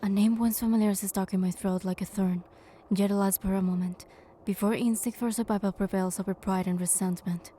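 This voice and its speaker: A woman's voice: medium pitch, fairly even intonation, brisk, hardly any pauses, dark tone, slight background noise, natural voice. A woman voicing a proud goddess in a game, delivering dramatic, solemn scripted narration. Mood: pained. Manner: solemn, storytelling. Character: wounded goddess narrating her suffering with pride.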